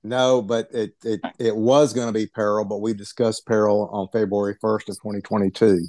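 Male book club member, lowish pitch, dry sound, informal conversation. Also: little pitch movement, normal speed, a little mellow, dry recording. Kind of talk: casual chat.

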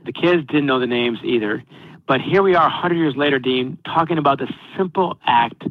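A man's voice: medium pitch, fairly quick, no echo. A man presenting a history story in a conversational way to a group, as a guest speaker. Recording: dull lo-fi online call audio.

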